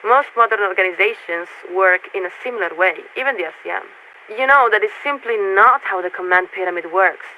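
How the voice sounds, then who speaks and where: A woman playing a poised, politely bureaucratic military signaler in a video game, speaking formally and in measured phrases through a radio filter. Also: mid-range voice, sharp tone. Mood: official, firm. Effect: radio transmission filter. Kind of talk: scripted video game character dialogue. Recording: narrow radio band with static noise.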